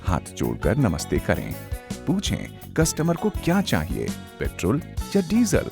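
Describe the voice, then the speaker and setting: A man's voice: mid-range voice, wildly swooping pitch, unhurried, vocal fry, music in the background. A man narrating a scripted instructional film, emphatic and instructive, addressing viewers directly on a close, dry microphone. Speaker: narrator, presenter.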